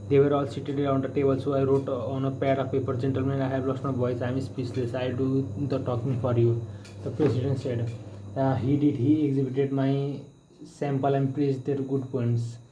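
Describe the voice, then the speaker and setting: A man's voice: mid-range voice, flat delivery, moderate pace, background noise. A man reading a book aloud, stumbling and restarting on some words. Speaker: book reader. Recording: roomy echo with clear background ambience.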